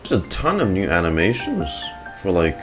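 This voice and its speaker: A man's voice: deep voice, huge pitch swings, moderate pace. A man giving casual, offhand reaction commentary on a video game, swearing now and then. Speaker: gaming streamer reacting to a story quest. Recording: game music faintly behind. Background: video game music.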